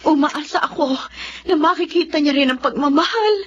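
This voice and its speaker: A very high, wildly swinging voice of a distraught, protective mother in a Filipino radio drama. She pleads and scolds with heavy emotion, over faint background noise. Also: animated, moderate pace, muffled recording. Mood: sorrowful, pleading. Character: distraught, protective mother of a rebellious teenage daughter.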